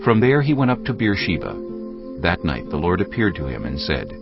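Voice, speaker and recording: A man's voice: low-pitched, wildly swooping pitch, normal speed. A man narrating scripture from a script as a dramatized audiobook narrator. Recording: dull audio with music. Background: music.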